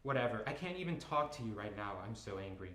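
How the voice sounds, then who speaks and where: A man voicing an angry young woman, a college student, with shrill, furious outbursts, in an echoey room. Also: expressive, quick pace, a bit bright, slight background noise.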